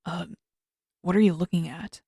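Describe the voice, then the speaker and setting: A woman voicing a reserved, earnest teenage prince in an understated, measured and wry way for an audiobook story. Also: fairly low pitch, steady tone, mellow, slightly rough, dry recording, clean studio sound, pitched below the performer's own voice. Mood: awkward.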